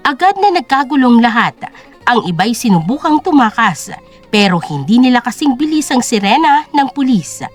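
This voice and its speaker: A woman's voice: on the high side, sing-song, moderate pace, soft background music. A woman narrating a scripted story as a dramatic audio drama.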